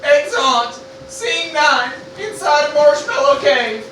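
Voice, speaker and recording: Bright, squealing, gleeful cartoon announcer. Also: sits high, lively intonation, takes it slowly, heavily acted. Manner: exclaiming, gushing, enthusiastic. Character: excitable cheery cartoon announcer creature, exclaiming with delight. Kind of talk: comedic live radio play announcing. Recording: roomy, distant mic, faint noise.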